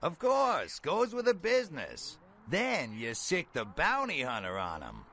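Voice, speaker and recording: A high, swooping male voice gives us a brash middle-aged bail bondsman from a video game. He talks in blunt, folksy slang with a gravelly edge, over faint background noise. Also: huge pitch swings, a little slow, bright, forceful tone, heavily acted. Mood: frank. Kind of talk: video game character dialogue.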